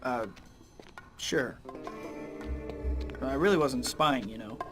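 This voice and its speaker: A man's voice: medium pitch, takes it slowly, over music, close to an everyday voice. A young man playing an earnest, slightly awkward, wisecracking superhero in a video game, speaking casually. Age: young adult.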